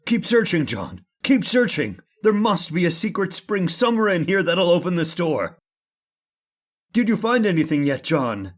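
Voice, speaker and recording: A man playing an urgent, commanding gentleman master in an old-time radio horror drama. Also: high voice, sing-song, normal speed. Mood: frantic. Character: urgent, commanding gentleman trapped with his servant.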